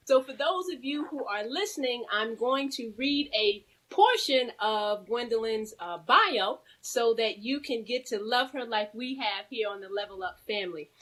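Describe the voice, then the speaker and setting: A woman's voice: a little high, animated, normal speed, hardly any pauses, a bit bright. A woman co-hosting a live talk show, chatting and reading aloud in a playful, exuberant, informal manner. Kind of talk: lively live talk show chat, reading a guest bio.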